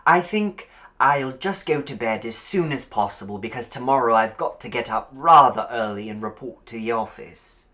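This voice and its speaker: A man plays a polite young gentleman lodger in an audiobook. He sounds naive and courteous, cheerful but a little hesitant, in an everyday male register. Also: medium pitch, normal speed, lightly acted. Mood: bright, reassuring. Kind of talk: audiobook character voice.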